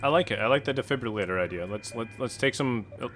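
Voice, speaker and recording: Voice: medium pitch, fast talker, a bit bright, soft background music. A player at a tabletop game, joking and teasing the others. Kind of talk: casual tabletop play.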